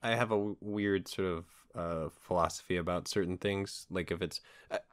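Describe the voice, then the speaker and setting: A man's voice: low-pitched, fairly even intonation, normal speed, no room sound, clean studio sound. A man hosting a podcast in casual conversation, talking in a hesitant, rambling way that keeps qualifying itself. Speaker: podcast host. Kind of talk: casual chat about comics.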